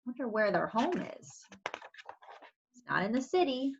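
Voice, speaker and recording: A woman storyteller reading a picture book aloud to young children in an expressive, explaining way, with questioning asides. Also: fairly high pitch, measured pace, gravelly, highs cut off, slight background noise.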